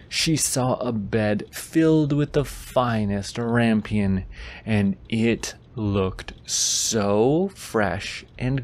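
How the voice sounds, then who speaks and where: A man's voice: lowish voice, darkish tone. A man in a boyfriend audio roleplay, reading a bedtime story aloud with goofy, teasing casual asides.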